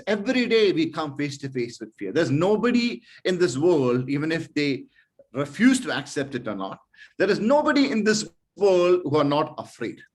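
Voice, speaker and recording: A man chairing an online speaking club meeting, hesitant with fillers, then giving an earnest motivational talk full of rhetorical questions. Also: medium pitch.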